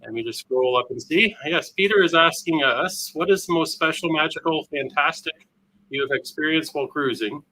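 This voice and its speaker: A Canadian man co-hosting a live stream, reading viewer questions in a brisk, upbeat way. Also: fairly high pitch, bright, forceful tone, rough recording. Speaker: cruise vlog co-host.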